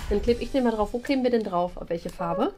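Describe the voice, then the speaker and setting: A woman's voice: medium pitch, fast. A young adult woman who hosts a vlog, narrating and chatting casually in an indoor kitchen. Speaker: host of a crafts and party vlog. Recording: music behind. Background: background music.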